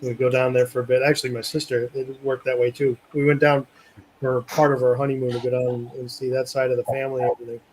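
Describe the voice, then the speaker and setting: A man's voice: medium pitch, flat delivery, fast, darkish tone, poor audio. A man from Massachusetts telling a family story in a casual group chat, speaking in a chatty way. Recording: clear background noise.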